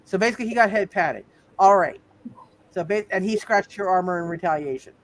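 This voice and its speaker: A man with a high-pitched voice runs a tabletop roleplay game as game master. He talks casually and haltingly, often repeating phrases as he works out the next move. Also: a bit bright, no room sound.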